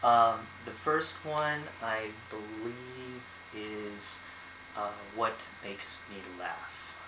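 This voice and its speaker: A young American man speaks casually to camera from his bedroom, pausing often with ums and uhs. His voice sits fairly low. The recording is roomy and echoey, with steady noise underneath. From United States. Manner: hesitant, many ums and uhs. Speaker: vlogger. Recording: echoey room, noisy background.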